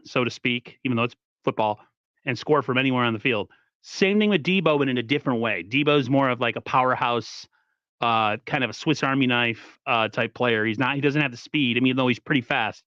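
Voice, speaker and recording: A man co-hosting a casual sports livestream, talking in halting, slangy bursts while he hunts for words. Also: mid-range voice, a bit bright, no echo, highs cut off. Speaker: podcast co-host.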